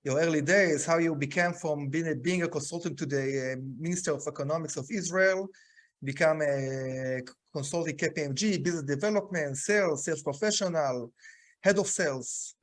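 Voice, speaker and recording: A man with a somewhat high voice hosts a sales podcast. He introduces his guest haltingly, stopping on uh and um between phrases. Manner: halting, filler-heavy introductions. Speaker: podcast host. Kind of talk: interview hosting.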